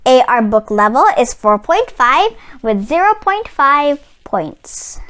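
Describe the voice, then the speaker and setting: A woman reading a children's story aloud as a storyteller, with an expressive, deliberate storybook delivery. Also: high-pitched, smooth.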